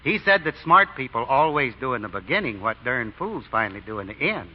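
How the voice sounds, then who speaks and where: A man's voice: mid-range voice, big pitch swings, a little fast, slight background noise, lightly acted. A man playing a sly, folksy fake deacon in 1940s radio acting, drawling homespun sayings. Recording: dull lo-fi old radio broadcast.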